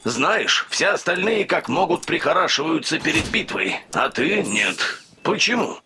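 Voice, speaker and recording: A man voicing an excitable robot with a big ego in a cartoon, loud and theatrical. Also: a little high, measured pace, loud music behind the voice. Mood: indignant. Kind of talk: animated cartoon dub.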